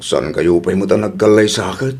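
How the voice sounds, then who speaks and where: A man's voice: deep voice, normal speed, a little mellow. An actor playing a lovesick jilted man who cannot move on, in a comedy radio drama. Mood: pleading, hurt.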